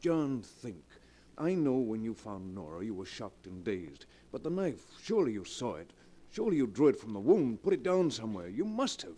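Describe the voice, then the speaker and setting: A mellow voice plays a concerned doctor and loyal friend. He pleads earnestly with wide swings in pitch, in the style of a 1940s radio play. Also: very animated, normal speed, husky. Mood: urgent, pleading. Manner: urgent, pleading, sincere. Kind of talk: 1940s radio drama acting.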